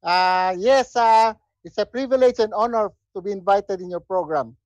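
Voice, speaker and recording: A man in his seventies with a Filipino accent, answering interview questions conversationally in hesitant, measured phrases. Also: sits high, dry recording. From Philippines. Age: 70s. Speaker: interview guest, retired military officer. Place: indoor room at home, on a video call. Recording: dull, lo-fi video call audio.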